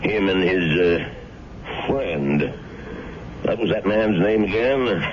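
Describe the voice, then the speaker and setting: An actor playing a kindly middle-aged town doctor who talks in a plain, reassuring way. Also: male voice, sits low, expressive, takes it slowly, background noise. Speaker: actor in a radio drama. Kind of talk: 1970s radio drama acting. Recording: dull old radio recording.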